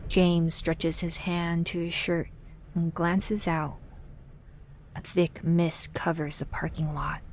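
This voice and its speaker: A woman reading scripted dramatic narration in a measured, brooding, literary manner. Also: fairly low pitch, little pitch movement, darkish tone, slight background noise.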